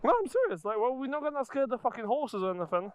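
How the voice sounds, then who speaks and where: A man's voice: extremely high pitch, big pitch swings, quick pace, smooth. A native Polish man chatting casually and swearing freely with his riding mates over a helmet mic outdoors. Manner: sweary, informal banter. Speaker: motorcycle vlogger. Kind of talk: casual chat with riding companions. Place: outdoors on a motorcycle ride. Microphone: helmet-mounted microphone. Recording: noisy helmet intercom outdoors.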